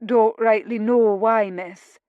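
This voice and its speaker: A woman voicing a gruff, kindly older stable master with a Scottish accent and folksy, blunt speech. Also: fairly high pitch, steady tone, takes it slowly, no echo, narrow, tinny audio. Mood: gruff, fond.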